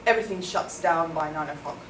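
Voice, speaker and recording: A young South African woman whose first language is Afrikaans answers interview questions at length. She explains things carefully, with plenty of ums. Her voice sits a little low and is picked up from a distance in an echoey room with steady noise behind it. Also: brisk. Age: young adult. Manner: thoughtful, explanatory, with many ums. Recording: echoey room, far from the mic, noisy.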